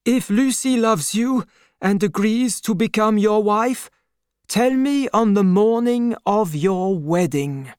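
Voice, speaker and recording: A man voicing an aging, gentle doctor who speaks in an anxious, fatherly, pleading way. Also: high-pitched, slow pace, clear, resonant tone, dry recording, studio quality, voice pushed up. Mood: alarmed. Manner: pleading, emotional.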